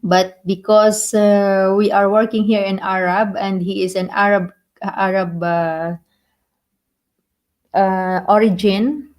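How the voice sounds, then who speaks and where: A woman from the Philippines with a Filipino accent, a native Filipino speaker, hosting a casual live stream chat in halting, simple phrases that she often repeats. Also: mid-range voice, a little mellow.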